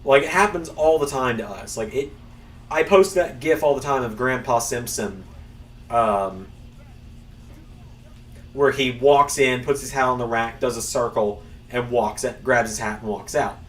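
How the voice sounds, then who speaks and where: A man's voice: mid-range voice, unhurried, fairly bright tone, recorded from a distance. A young man streaming a wrestling game, chatting casually to viewers in a rambling, self-mocking way that includes swearing. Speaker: gaming streamer. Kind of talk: casual live-stream chat while playing.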